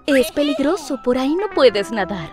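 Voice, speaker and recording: Bossy, excitable animal for kids' cartoon: high and animated, sing-song rhyming instructions. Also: female voice, moderate pace, over loud music. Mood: alarmed.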